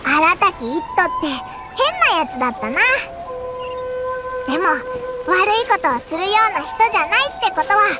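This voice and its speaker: A woman's voice: extremely high pitch, expressive, normal speed. A woman voicing a small, chirpy fairy-like companion in a game, blunt and childish in how she talks. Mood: amused. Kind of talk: game cutscene voice acting. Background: game music.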